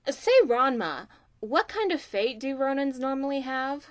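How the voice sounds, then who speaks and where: Smug teenage girl, played by a woman, slightly high, unhurried, teasing and scheming, anime audio play. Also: expressive. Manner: slow, smug mockery. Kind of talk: amateur anime audio drama acting.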